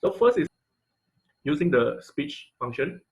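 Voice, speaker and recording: Man teaching a halting online tutorial. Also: on the high side, wildly swooping pitch, low-quality recording. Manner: halting, repeats words, often checks with "okay" and "right". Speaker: online class instructor. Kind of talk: informal live technical tutorial. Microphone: online video call.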